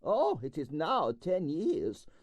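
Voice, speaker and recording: Storybook role: vain older Russian ballerina, piercing falsetto, Russian accent, imperious and emphatic, very dry close sound. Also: male voice, wildly swooping pitch, takes it slowly, no room sound, heavily acted. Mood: frank, emphatic. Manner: dramatic, emphatic, broken English.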